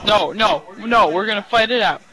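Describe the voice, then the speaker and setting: A young man playing a game with friends, directing the team in a bossy, quick way. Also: high voice, noisy background. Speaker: gaming friend. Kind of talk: casual game chatter.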